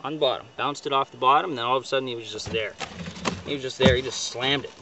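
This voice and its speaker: A man's voice: mid-range voice, fast talker. A young man in a boat on a lake outdoors, chatting casually to the camera about his catch, upbeat and full of fillers. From northern Ontario. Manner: chatty, upbeat, fillers like right and you know. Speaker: fishing guide showing a catch. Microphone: camera held by a companion a few feet away. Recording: distant outdoor camera audio with noise.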